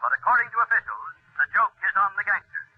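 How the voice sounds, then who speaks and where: An actor playing a brisk, urgent radio newscaster with a husky voice, heard through a radio set in 1940s style. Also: male voice, high-pitched, big pitch swings, exaggerated voice. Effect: radio set filter. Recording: tinny, narrow-band old radio.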